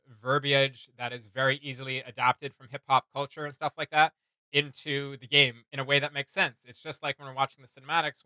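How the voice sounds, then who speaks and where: A man's voice: medium pitch, pushed and bright, clear, resonant tone, no room sound, rough recording. A man hosting a reaction video, giving casual commentary and often searching for his words.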